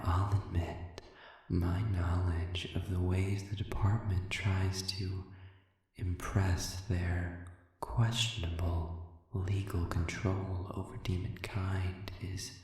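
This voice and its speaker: Man playing a very slow, low-voiced suave demon. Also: darkish tone. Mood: coolly amused. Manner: measured, deliberate, condescending, formal wording with lingering pauses. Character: suave, sadistic, manipulative male demon prisoner. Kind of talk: audio roleplay, slow intimate monologue addressed to listener. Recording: echoey hall-like reverb, distant.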